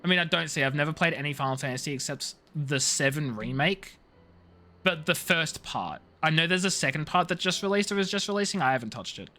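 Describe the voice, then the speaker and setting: A man chats casually as he plays a game on stream, in a fairly high, animated voice. He is slangy and playful, given to mock outrage, with some music around him. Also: fairly quick. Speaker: live streamer playing a video game. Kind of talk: casual chatty game commentary.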